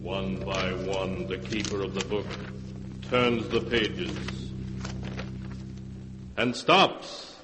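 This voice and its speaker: Spooky old radio narrator, low and super slow, echoey with a bit of hiss. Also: male voice. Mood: ominous. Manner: slow, sonorous, drawn-out delivery. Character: ominous male narrator of dark tales. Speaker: narrator, keeper of the book. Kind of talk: 1940s radio drama narration. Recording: old radio broadcast, faint hiss, long reverb.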